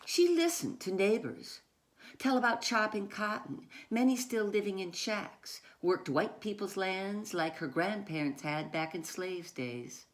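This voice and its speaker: A woman who writes children's books, reading aloud and chatting in a storytime style, with deliberate, emphatic phrasing. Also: medium pitch, animated. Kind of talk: storytime read-aloud with informal asides.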